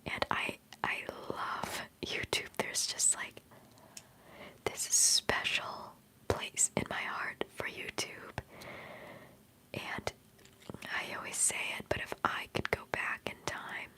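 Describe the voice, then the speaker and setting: A woman in her twenties whispering through a relaxed livestream chat, rambling and giggling between thoughts as an ASMR streamer. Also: slow, rough recording. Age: 20s. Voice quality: soft whisper.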